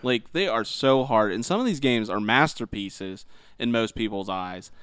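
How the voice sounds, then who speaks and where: A man's voice: on the low side, normal speed, fairly bright tone, clean tone, dry recording, clean studio sound. A man co-hosting a podcast in a casual, conversational chat style. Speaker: podcast co-host.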